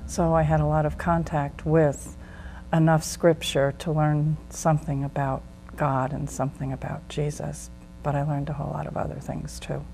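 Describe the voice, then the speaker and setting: A middle-aged American woman speaks in a low, level voice, recounting her past plainly with occasional fillers. A faint hum runs underneath. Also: level tone, normal speed, creaky, mellow, rough recording. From United States. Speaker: guest, former nun. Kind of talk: interview testimony.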